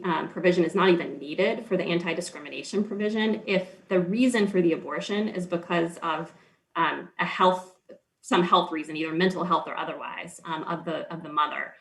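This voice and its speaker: Gravelly-voiced attorney arguing an appeal. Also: male voice, sits high, a bit bright, rough recording. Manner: measured, formal, frequent ums. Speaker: state's attorney. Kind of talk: appellate oral argument.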